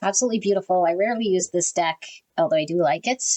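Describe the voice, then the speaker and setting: A woman giving a tarot reading to her viewers, talking frankly and often tagging "okay?" onto her sentences, with her voice swinging high and low. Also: very fast, darkish tone. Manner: pitch swings high and low as she talks. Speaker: tarot reader. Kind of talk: casual direct-to-camera tarot reading.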